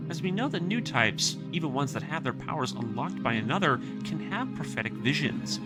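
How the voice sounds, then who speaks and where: Man narrating a video essay over music. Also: mid-range voice. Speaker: host of a video analysis series. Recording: background music under the voice.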